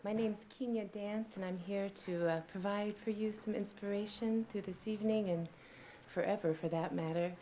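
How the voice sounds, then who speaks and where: A woman giving a spiritual, inspirational address with poetry, speaking reverently with dramatic, drawn-out emphasis. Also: mid-range voice, steady tone, mellow. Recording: live stream with faint background noise.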